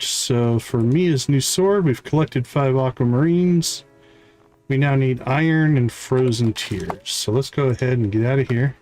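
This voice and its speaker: A man hosting a livestream, giving casual gameplay commentary and thinking aloud in an unhurried way. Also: mid-range voice, darkish tone. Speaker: livestream host.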